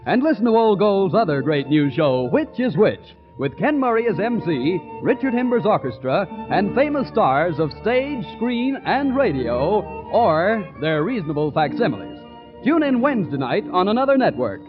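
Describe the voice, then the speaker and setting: Over faint music on a muffled vintage recording, a male announcer reads in 1940s radio ad style. His voice is high and swings with lively emphasis. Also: normal speed. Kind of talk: 1940s scripted radio ad read.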